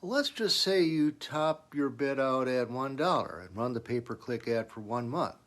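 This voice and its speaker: A man's voice: mid-range voice, animated, moderate pace, a bit bright. A man presenting business advice in a semi-scripted, instructional explainer style, putting emphasis on key points.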